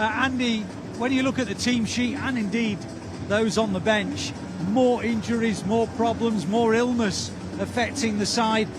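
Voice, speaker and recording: A man presenting live at pitch side, putting articulate, formally phrased questions in a pre-match sports interview. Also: background noise.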